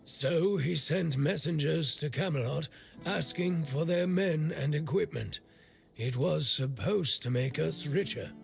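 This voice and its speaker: An actor playing a gruff, irreverent old smith in a video game, with a gravelly voice and a blunt, sardonic way of talking. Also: male voice, on the high side, a little mellow, heavily acted. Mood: wry storytelling. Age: older. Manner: blunt, foul-mouthed, sardonic. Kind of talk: video game character dialogue.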